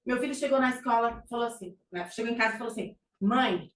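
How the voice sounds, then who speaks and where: A woman's voice: on the high side, fast talker. A middle-aged Brazilian woman giving an informal psychology talk, with frequent fillers and restarts. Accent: Brazilian Portuguese. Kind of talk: conversational lecture presentation. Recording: echoey room, distant mic, faint noise.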